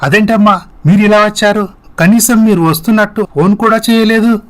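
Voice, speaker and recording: A man performs a dutiful young adult son in a moral-story drama. The voice sits fairly high for a man and is respectful, polite and earnest. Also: a little fast, natural voice. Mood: surprised. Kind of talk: scripted moral-story voice acting.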